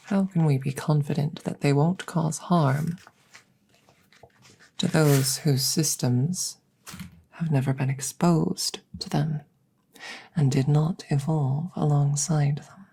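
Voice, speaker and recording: A woman voicing a curious, cautious alien scientist in a soft, hushed ASMR roleplay, speaking formally and deliberately and slipping into whispers. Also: sits low, fairly even intonation, gravelly, slightly rough, full character voice. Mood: grave concern. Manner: measured, formal, deliberate phrasing.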